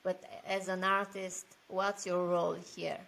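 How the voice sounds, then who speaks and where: A woman's voice: on the low side, flat delivery, measured pace, gravelly, fairly bright tone. A woman interviewing a guest, who asks short and slightly broken questions.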